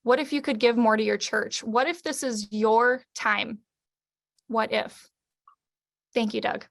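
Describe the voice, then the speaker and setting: A woman's voice: fairly high pitch, fairly even intonation, measured pace, gravelly. A woman giving a testimonial on a video call, preaching in rhetorical questions. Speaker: company coach.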